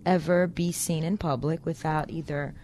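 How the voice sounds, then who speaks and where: A woman co-hosting a radio talk show, bantering quickly and wryly. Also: low-pitched, fairly even intonation, dark tone, a little hiss or hum. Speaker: radio co-host. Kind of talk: casual chat.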